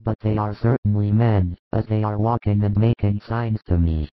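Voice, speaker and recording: A man's voice: lowish voice, steady tone, moderate pace, no echo, close to an everyday voice. A man voicing a humble, awestruck blind man who is newly healed, in a dramatized scripture story. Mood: tentative wonder. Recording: muffled, phone-like audio.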